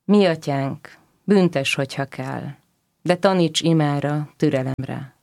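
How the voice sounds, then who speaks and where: A reciter delivering religious verse in a solemn, measured declamation. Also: mid-range voice, creaky, a little mellow, dry recording, studio quality. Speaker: poetry reciter.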